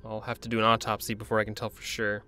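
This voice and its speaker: An actor playing a driven, commanding research doctor in an audio drama, with a clinical way of talking. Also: male voice, lowish voice, completely flat delivery, quick pace, pushed and bright, a little hiss or hum. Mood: measured, clinical.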